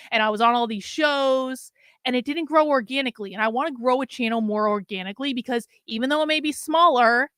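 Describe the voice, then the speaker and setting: A middle-aged woman hosting a casual livestream, chatty and rambling with wry asides, her voice going hoarse. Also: fairly high pitch, a bit bright, no echo, studio quality. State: losing her voice. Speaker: livestream host.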